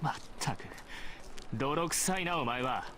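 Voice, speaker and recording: A man voicing an arrogant high-school bully in an anime-style visual novel, with a condescending manner. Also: lively intonation, moderate pace, pushed and bright, a little hiss or hum. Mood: contemptuous. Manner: condescending, haughty. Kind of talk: Japanese visual novel voice acting.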